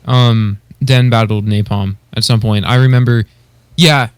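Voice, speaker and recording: A man's voice: mid-range voice, steady tone, unhurried, clean tone, dry recording. Man chatting casually, close dry sound. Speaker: podcast co-host. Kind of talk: casual chat, hobbyist commentary.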